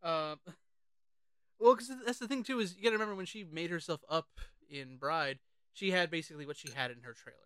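Chatty guy podcast cohost, clear crisp voice, kinda high, stumbles and repeats himself doing movie trivia. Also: a bit bright, dry recording, studio quality. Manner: chatty, halting, repeats phrases. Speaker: podcast co-host. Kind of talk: casual film discussion with trivia.

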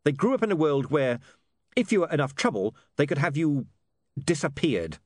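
Man playing an earnest, bitter young gentleman, higher pitched. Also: normal speed, no room sound, clean studio sound. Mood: agitated, bitter. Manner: flat, clipped, emphatic.

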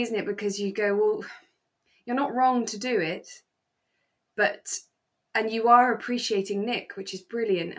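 A woman with a middle-pitched voice co-hosts a relaxed chat show. She talks casually and reflectively, softening her opinions as she goes and readily agreeing with her partner. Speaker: podcast co-host. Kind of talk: relaxed casual chat about a radio drama.

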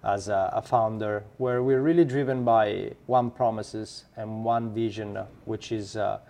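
A man with an Italian accent, a startup founder being interviewed, who talks haltingly with many fillers and restarts. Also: on the low side, fairly even intonation, gravelly, dark tone. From Italy. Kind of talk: podcast interview.

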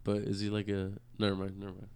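Stoned male podcast co-host, deadpan and curt, low smooth voice. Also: quick pace. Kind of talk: crude casual banter.

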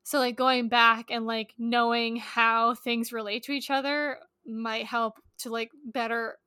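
Female podcast co-host with a bright, fairly high voice, casual and halting as she thinks aloud. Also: level tone, clean tone, dry recording, studio quality. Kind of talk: casual book-club chat.

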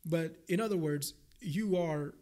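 Higher-voiced young man from India, talkative. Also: measured pace, mellow. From India (Gujarat). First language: Gujarati. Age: young adult. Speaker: podcast guest, PhD researcher. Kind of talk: casual interview chat.

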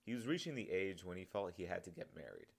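A man's voice: sits low, very animated, fast, creaky. A man reading his own story as scripted audiobook narration.

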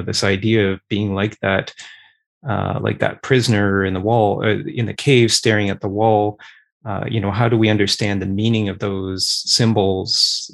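A man moderating a group discussion, asking questions in a measured, thoughtful way. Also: on the low side, gravelly.

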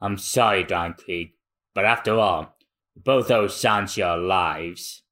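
A man voicing a grim, loyal male pirate who speaks in a low, solemn way. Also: slow, pushed and bright, full character voice.

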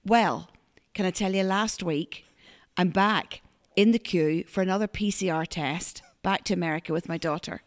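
A woman with a big, loud voice, co-hosting a podcast and telling lively stories. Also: on the low side, normal speed. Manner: big loud voice, animated storyteller. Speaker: podcast co-host. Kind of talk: casual storytelling chat.

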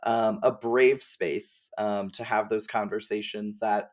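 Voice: medium pitch, steady tone, slow pace. A podcast guest and streamer chatting casually in a friendly, gushing, slangy way. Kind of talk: casual chat.

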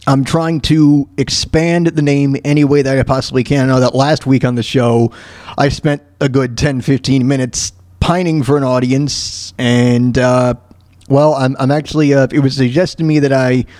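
A man hosting a casual solo radio show, talking in a halting way as he thinks aloud. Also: medium pitch, flat delivery, a little mellow, no room sound, studio quality.

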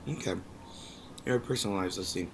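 Young male podcast co-host, low, gravelly, lost-his-voice hoarseness, casual delivery, faint noise behind. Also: fairly quick. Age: early 20s. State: hoarse from losing his voice, tired. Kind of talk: casual pop culture podcast chat. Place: hotel room on a trip.

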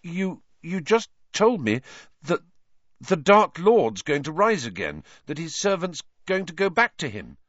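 A man's voice: a little high, sing-song, measured pace, a bit bright, no room sound, muffled recording, voice pushed up, full character voice. A man voicing a nervous teenage boy wizard who speaks hesitantly and anxiously. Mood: nervous, urgent.

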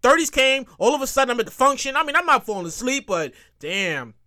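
A man's voice: extremely high pitch, quick pace. A man in his thirties hosting a casual solo podcast, rambling and cursing as he repeats himself. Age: 30s. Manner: animated, acts out quotes.

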